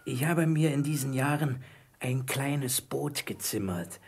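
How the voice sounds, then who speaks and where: An actor playing a marooned, repentant old pirate in a German radio play, wary and eager, with a husky, creaky voice and a theatrical, confiding manner. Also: male voice, medium pitch, a little slow, a little mellow. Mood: secretive, cautious.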